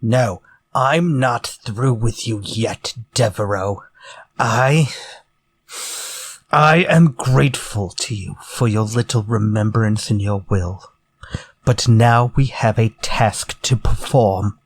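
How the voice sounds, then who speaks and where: An actor playing a vengeful, menacing ex-convict murderer in a retro radio drama, theatrical, taunting and deliberate. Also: male voice, fairly low pitch, slightly rough, exaggerated voice. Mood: quietly menacing.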